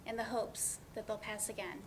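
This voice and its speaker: A woman's voice: mid-range voice, flat delivery, moderate pace, heavy background noise, close to an everyday voice. A woman playing a wry, sarcastic young woman with a dry, natural delivery in a play reading. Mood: reflective.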